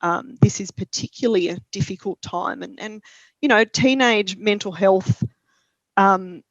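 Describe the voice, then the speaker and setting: A woman with an Australian accent, a parent helpline counsellor speaking calmly and reflectively in a webinar discussion. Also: mid-range voice, unhurried, no room sound, lo-fi sound.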